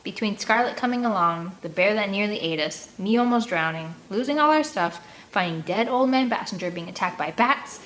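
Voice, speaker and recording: A woman's voice: medium pitch, a little hiss or hum. A woman reading a children's novel aloud in the first person, expressively, acting out the dialogue. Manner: animated, acts out dialogue. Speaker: audiobook reader.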